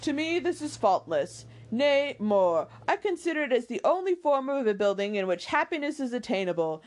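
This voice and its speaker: A woman voicing an ardent, impulsive young gentleman suitor from a period novel, eager and declamatory in formal language. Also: high voice, sing-song, normal speed. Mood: vehement, eager.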